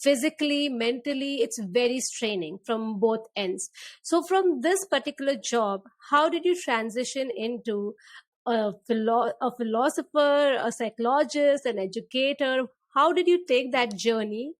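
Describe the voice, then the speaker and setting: A woman's voice: a little high, animated, normal speed, no room sound. A woman hosting a conversational podcast interview, speaking in an encouraging, affirming way. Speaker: podcast host interviewing a guest.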